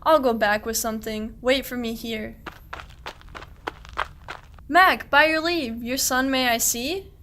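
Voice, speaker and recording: A woman's voice: fairly high pitch, slow pace, long pauses, sharp tone. A woman playing a suspicious, persistent shepherd, delivering pointed, wry archaic verse in a radio play, with light background noise. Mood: curious. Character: suspicious, persistent shepherd hunting a stolen sheep.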